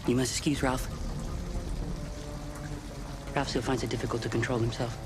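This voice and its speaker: A man's voice: mid-range voice, flat delivery, normal speed, close to an everyday voice. An actor playing a skittish, unstable runaway male android in a video game, speaking in a jittery, fearful way and calling himself by name. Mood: apologetic, fearful. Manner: refers to self in third person, jittery. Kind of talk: video game dramatic acting.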